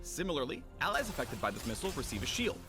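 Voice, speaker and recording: Animated, higher-pitched male game guide narrator. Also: very fast. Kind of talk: scripted promotional game narration. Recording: produced voice-over with music behind.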